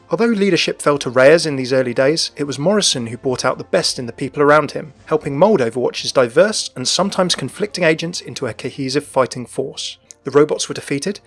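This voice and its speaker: A man narrating a scripted video about the lore and backstory of a game character. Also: medium pitch.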